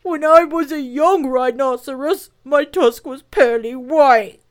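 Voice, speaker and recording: A woman voicing a big, angry old rhinoceros from a storybook, self-pitying and weepy, drawing out words melodramatically in a booming voice. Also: slow. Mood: tearful, self-pitying.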